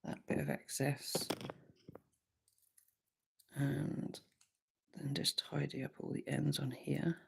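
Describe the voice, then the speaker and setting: Croaky-voiced woman, low and gravelly. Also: little pitch movement, takes it slowly, long pauses. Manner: chatty, easygoing, thinks aloud with frequent ums. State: slightly croaky, sore throat. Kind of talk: relaxed live craft tutorial.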